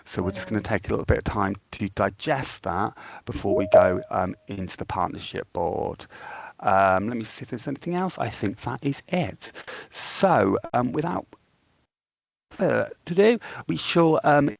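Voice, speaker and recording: A man's voice: on the low side, lively intonation, moderate pace, creaky, no echo. A man hosting an online meeting, giving an update with many hesitant fillers. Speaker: meeting host.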